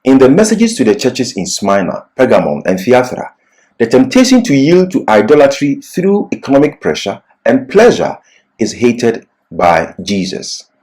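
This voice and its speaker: Male Bible teacher, didactic and emphatic, very animated intonation, mid-pitched voice for expository lecture. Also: normal speed.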